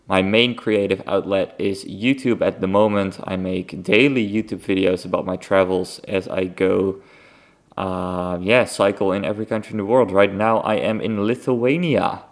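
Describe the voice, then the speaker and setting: Gravelly young Dutch man, low voice. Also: steady tone, normal speed. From Netherlands. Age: young adult. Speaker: podcast host. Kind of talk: casual solo podcast update.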